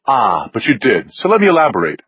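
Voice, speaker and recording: A man's voice: mid-range voice, huge pitch swings, a little fast, husky, no echo, dull audio, exaggerated voice. A man voicing a haughty, pampered upper-class snob with precise, drawling diction, as an audiobook character. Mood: snooty, needling.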